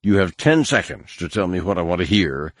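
A man voicing a cold, cruel one-eyed male warrior who speaks in a low, hissing, threatening way. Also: big pitch swings, a little fast, dry recording. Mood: menacing.

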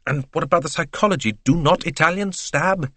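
A man voicing a blunt, opinionated railway director. He speaks flatly and firmly, in a close, dry recording. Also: a little high, a little fast, no room sound, voice pushed up. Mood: disdainful.

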